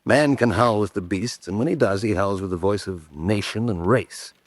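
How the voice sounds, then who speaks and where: An actor playing an intense, sharp-minded adventurer hunting spies, in a declamatory old-time radio drama style. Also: male voice, fairly low pitch, moderate pace, no echo, natural voice. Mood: brooding.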